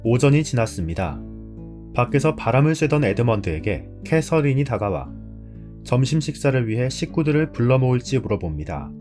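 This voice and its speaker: Man, scripted narration, host, animated intonation, faint guitar music behind. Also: mid-range voice, normal speed.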